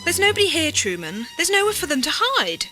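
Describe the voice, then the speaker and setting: A woman's voice: extremely high pitch, wildly swooping pitch, a little fast, music in the background, heavily acted. An actress playing an uneasy, insistent female companion, earnest and challenging, in an audio drama.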